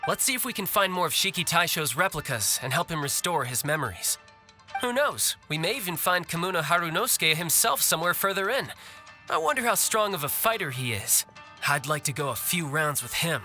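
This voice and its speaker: A man's voice: on the high side, lively intonation, a little fast, sharp tone. A young man voicing a shrewd, cocky fighter in a video game, with a glib, teasing manner. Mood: breezy. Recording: music behind the voice.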